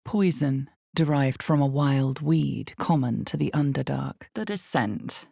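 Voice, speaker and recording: An actress voicing the narrator of a dark fantasy game, reading in slow, measured second person with a husky, creaky low voice. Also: female voice, no echo, studio quality, full character voice. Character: unseen female storyteller of a dark fantasy game. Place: studio.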